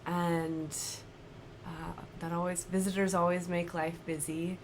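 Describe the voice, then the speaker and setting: A woman in her thirties chatting at home about her stitching, slightly raspy from a cold and laughing often. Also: on the low side, little pitch movement, a little slow, noisy background. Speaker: cross-stitch vlogger. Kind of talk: casual chat to camera. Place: home, indoors.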